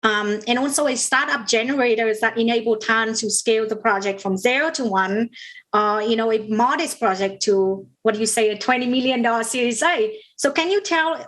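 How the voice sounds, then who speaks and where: A woman with a somewhat high voice moderates a fireside chat. She speaks in a conversational interview style as she addresses her guest. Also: fairly quick, fairly bright tone, low-quality recording.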